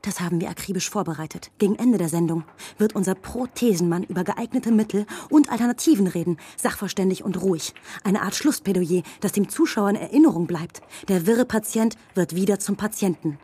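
An actress plays a calculating campaign strategist with a dark, husky voice. She speaks clinically and scornfully, laying out her plan methodically in a satirical radio play. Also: medium pitch, fairly quick, close to an everyday voice. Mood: coolly calculating.

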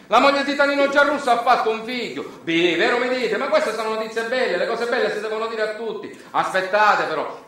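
A man's voice: sits high, bright, forceful tone, voice pushed up. A man playing gossiping village neighbours in a theatrical monologue, with a Sicilian accent and an exclamatory, singsong delivery. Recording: roomy echo, distant microphone.

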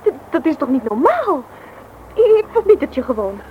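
A woman's voice: sits high, big pitch swings, normal speed, phone-quality sound, slight background noise. An actress playing an anxious, indignant young girlfriend in a radio play, faltering and protesting. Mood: nervous, indignant.

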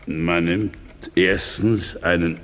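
An actor playing an unflappable old lord and inventor in a 1950s German radio play, dry and deadpan in a reverberant room. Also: male voice, sits low, takes it slowly, a little hiss or hum. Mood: dry, composed. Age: older.

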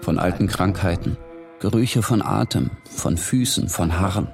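An actor playing a tormented, morbid man who narrates a bitter literary monologue in a brooding, deliberate way. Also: low voice, creaky. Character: tormented, morbid male narrator confessing bitterly to his shadow. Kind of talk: literary audio drama monologue. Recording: music behind the voice.

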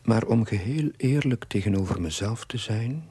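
An actor playing an earnest village chaplain, narrating his diary in a measured, confessional way with a husky, breathy voice. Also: lowish voice, expressive, dark tone. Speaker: narrating main character. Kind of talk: scripted diary narration in a TV period drama.

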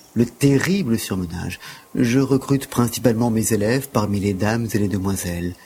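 A humble, frail gymnastics instructor played by a man speaks in a low, creaky voice. He is earnest and deferential, telling things in formal, long-winded phrases, as in a radio play. There is faint noise behind him. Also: darkish tone. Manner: deferential, wordy, formal storytelling. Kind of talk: audio drama acting.

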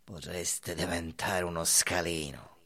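Casting: man for solemn mobster-like mentor near his end, radio drama, slow, rough and creaky, quietly menacing. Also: low voice, flat delivery, full character voice. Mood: grave, dying. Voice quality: gravelly, husky. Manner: grave, slow, menacing counsel. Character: solemn, dying mobster-like mentor made of marble. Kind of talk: theatrical radio play acting.

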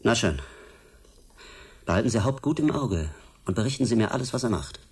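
An actor in a German radio drama playing a smug, menacing state security agent with a mock-polite, needling manner. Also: male voice, mid-range voice, animated, fairly quick, vocal fry, a little mellow, slightly rough. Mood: insinuating, pressing.

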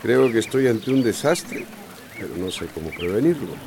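An actor playing a responsible, careworn emergency committee leader in a radio soap opera, measured and authoritative. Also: male voice, lowish voice, lively intonation, vocal fry, noisy background. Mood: troubled.

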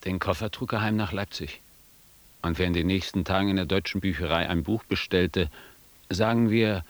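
Reminiscing narrator, deep voice, dry irony, close unechoed recording. Literary radio play. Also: male voice, no echo. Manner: dry, ironic. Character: reminiscing male narrator, drily ironic.

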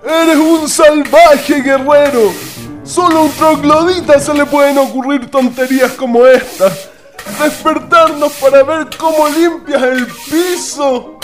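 A man's voice: very high voice, steady tone, a little slow, soft background music. An actor playing an irritable, complaining male traveler in a radio drama. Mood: indignant. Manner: indignant, grumbling.

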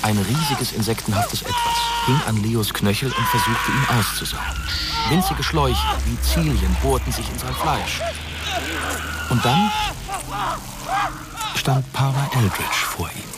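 A man's voice: medium pitch, huge pitch swings, unhurried, pauses often. A man narrating a German radio drama from a script. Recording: loud music behind.

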